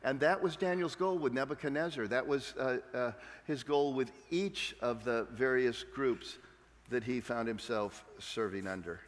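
Emphatic preacher in a reverberant hall. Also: male voice, medium pitch, a little slow. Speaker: pastor. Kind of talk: sermon. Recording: long reverb of a large hall.

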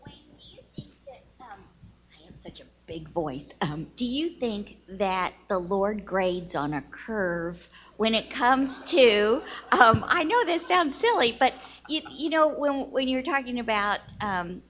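Woman, student in class, hesitant, halting, muffled recording. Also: fairly high pitch, sing-song, a bit bright. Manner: hesitant, many fillers. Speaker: student asking a question.

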